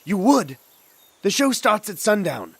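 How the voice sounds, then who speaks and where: Theatrical magician for radio drama, bright-eyed enthusiasm, high register, no room echo. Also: male voice, sing-song, measured pace, gravelly, slightly rough, heavily acted. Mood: excited showmanship. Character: eager, flamboyant male stage magician showman.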